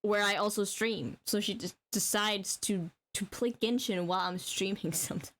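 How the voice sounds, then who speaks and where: A young woman from Denmark chatting casually on a gaming livestream, excitable and giggly, with plenty of swearing. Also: mid-range voice, normal speed. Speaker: live streamer.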